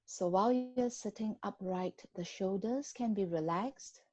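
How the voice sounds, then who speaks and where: Very slow, mellow female meditation guide. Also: medium pitch, dry recording. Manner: gentle, unhurried, with long pauses. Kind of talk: guided body scan meditation.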